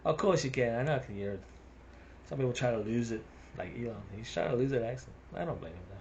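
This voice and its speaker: A man's voice: medium pitch, expressive, fast, a little mellow, highs cut off, slight background noise. A man with a South African accent rambling through a casual monologue, chuckling between his thoughts.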